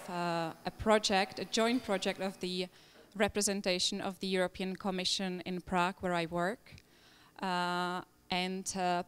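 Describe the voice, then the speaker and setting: A woman's voice: mid-range voice, clean tone. A woman with a Czech accent, a native Czech speaker, giving a polite formal welcome in English as a European Commission representative. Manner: polite, measured, with fillers.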